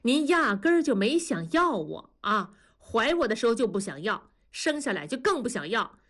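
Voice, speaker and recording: A woman's voice: a little high, big pitch swings, normal speed, fairly bright tone. A woman narrator voicing a sullen, sarcastic twenty-one-year-old daughter who lashes out bitterly at her parents in an audiobook drama. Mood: cold, resentful. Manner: sarcastic, defiant, accusing.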